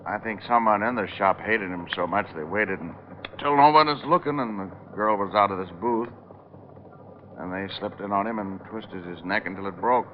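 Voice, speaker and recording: An actor plays a private eye on a muffled old radio recording with noticeable hiss. He is American, wry and watchful, with a low voice. He probes casually and hesitantly in classic radio crime drama style. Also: moderate pace, natural voice. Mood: wary needling. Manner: hesitant, probing small talk. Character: wry, probing male private detective, casual but watchful. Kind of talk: 1940s-50s American radio drama acting.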